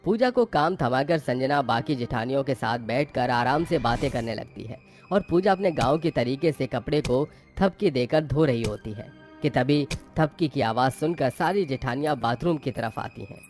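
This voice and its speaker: Male story narrator over soft music. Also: mid-range voice, expressive, normal speed.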